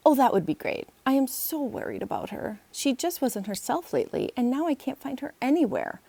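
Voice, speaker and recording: An older small-town mayor from a children's tale, voiced by a woman, kindly and anxious. He speaks politely and earnestly in a soft, creaky tone. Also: high-pitched, sing-song, a little fast, no room sound, pitched above the performer's own voice, full character voice. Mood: worried.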